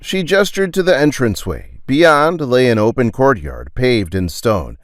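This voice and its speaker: A man's voice: medium pitch, very animated, a little slow, dry recording, clean studio sound. A man narrating a dramatic scripted adventure story as its storyteller. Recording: music under the voice.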